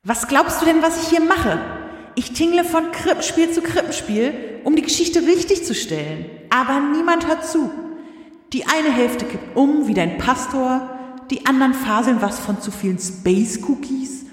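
A woman's voice: high voice, animated, moderate pace. A woman playing God in an audio drama as an indignant, down-to-earth lady who talks in a colloquial, sardonic, grumbling way. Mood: exasperated. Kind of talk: audio drama acting, conversational monologue. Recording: echoey hall with long reverb.

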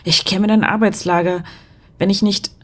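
A woman playing a frightened, desperate woman coerced into spying in a German audio drama, with halting, pleading speech that repeats words. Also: mid-range voice, fairly even intonation, lightly acted. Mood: distraught confession.

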